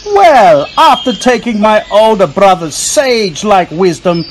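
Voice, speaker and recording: A man voicing an excitable, talkative young squirrel who tells children a story in a theatrical, self-important way. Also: high voice, low-quality recording. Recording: faint noise with birdsong and crickets.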